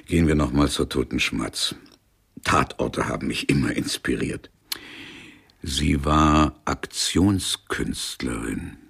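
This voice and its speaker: An actor playing an erudite, ironic police detective in a radio crime play, with a husky voice and a pompous, dry manner. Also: male voice, rumbling low, expressive, takes it slowly, fairly bright tone, exaggerated voice. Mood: dry, pondering. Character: erudite, world-weary male police detective, wordy and ironic. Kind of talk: 1990s German radio play acting.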